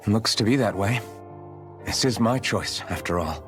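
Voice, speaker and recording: A man's voice: lowish voice, expressive, unhurried. A man playing an earnest, idealistic young hero in a video game cutscene, sincere and questioning. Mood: earnest, resolved. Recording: music behind the voice.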